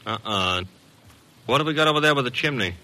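An actor playing a wisecracking, streetwise private detective's assistant with a breezy, slangy, sardonic manner in an old-time radio drama. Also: male voice, medium pitch, animated, brisk, bright, forceful tone.

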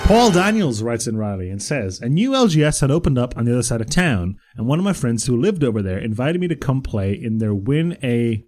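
A man's voice: medium pitch, very animated, a little fast, hardly any pauses, darkish tone, soft background music. A man co-hosting a podcast in casual banter, with a teasing, blunt manner. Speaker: podcast co-host.

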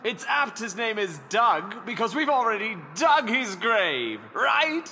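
A man's voice: sits high, very animated, takes it slowly, sharp tone, a little hiss or hum, heavily acted. A man playing a pompous, fawning herald to an evil empress, in the grandiose announcing manner of a comedic fantasy audio drama. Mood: showy. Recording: long arena reverb, faint ambience.

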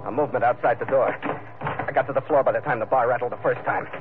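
A man's voice: medium pitch, fairly even intonation, fast, lightly acted. An actor playing a tough, hardboiled ship captain narrating his adventures in terse old-time radio drama style. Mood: grim, measured. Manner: terse, gritty, clipped sentences. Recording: tinny vintage radio broadcast, music underneath.

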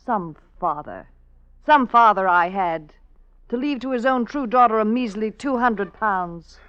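An actress playing an aggrieved, brooding wife and disinherited daughter in a vintage radio drama, with theatrical archaic phrasing. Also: female voice, fairly high pitch, animated, a little slow, fairly bright tone, clear, resonant tone. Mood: bitter. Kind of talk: 1950s radio drama acting. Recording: dull old radio recording.

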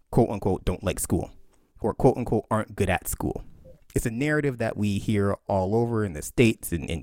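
A man in his thirties, an author answering interview questions in an animated way, laughing often and acting out quotes. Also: lowish voice, no room sound, clean studio sound. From Canada. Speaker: author and historian.

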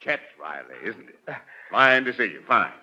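A glad-handing American small-town mayor in an old radio comedy, pompous and scheming. His fairly low voice is very forceful and swoops animatedly as he wheedles, on a muffled 1940s recording. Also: sing-song, normal speed, full character voice. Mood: unctuous, glad-handing. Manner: pompous, wheedling politician's patter.

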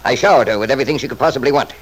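A man's voice: mid-range voice, very fast, close to an everyday voice. An actor playing a selfish, scornful wealthy father in an old-time radio drama, blustering and sarcastic. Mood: defensive.

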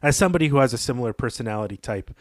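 A man's voice: medium pitch, animated, very fast, dry recording, studio quality. Reflective man discussing a story. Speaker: podcast co-host.

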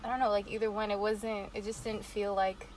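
A woman's voice: medium pitch, little pitch movement, a little fast, a little mellow, a little hiss or hum. A young woman in a casual group chat, talking hesitantly and often hedging with "I feel like". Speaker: panelist.

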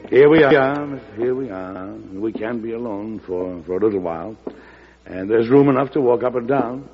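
An American actor in an old-time radio drama playing a gentle, courtly middle-aged president, fond and weary, speaking hesitantly. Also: male voice, medium pitch, moderate pace, over music, lightly acted. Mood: warm, confiding. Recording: muffled old radio broadcast recording.

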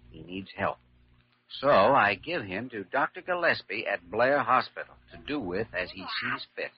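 An actor playing a calm, caring hospital doctor, measured and thoughtful, in 1940s radio drama style. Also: male voice, lowish voice, natural voice. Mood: earnest, wry. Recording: dull vintage radio recording.

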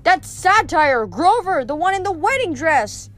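A woman voicing a defiant young warrior girl in a storybook, blunt and yelling. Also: high voice, very animated, normal speed. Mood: exasperated yelling.

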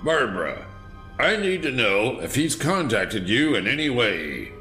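An actor playing an earnest, regretful police commissioner and father in an audio drama, speaking gravely. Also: medium pitch, sing-song, unhurried, bright, forceful tone. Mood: firm, concerned. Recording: echoey, light music underneath.

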